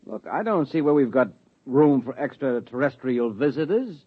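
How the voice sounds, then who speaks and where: A man's voice: medium pitch, animated, moderate pace, breathy edge. An actor playing a grudging, sarcastic policeman husband in 1950s radio drama style. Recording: dull 1950s radio broadcast.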